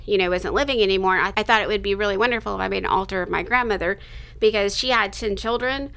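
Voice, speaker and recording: A woman in her fifties talks casually as an interview guest, her voice bright and sharp-edged with a lot of gravel in it. She meanders, restarts her thoughts and adds little asides as she tells her story. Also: mid-range voice, fast. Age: 50s. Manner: rambling, self-interrupting, laughs between thoughts.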